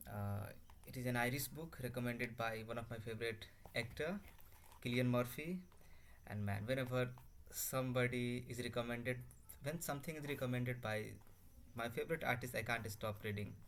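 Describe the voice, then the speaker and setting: A man's voice: mid-range voice, a bit bright, slight background noise. A young man from Bihar in India, a native Hindi or Bhojpuri speaker, talking unscripted to his laptop with many fillers and restarts. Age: young adult. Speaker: language learner vlogger. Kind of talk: unscripted casual talk to camera. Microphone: laptop microphone. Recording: faint background ambience on a laptop mic.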